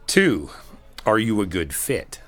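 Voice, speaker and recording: Male performer as supportive guy best friend, low-pitched, casual, reassuring, easygoing, conversational ASMR comfort roleplay. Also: very animated, slow, fairly bright tone, exaggerated voice. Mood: reassuring, explanatory. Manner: conversational, reassuring, hesitant rephrasing.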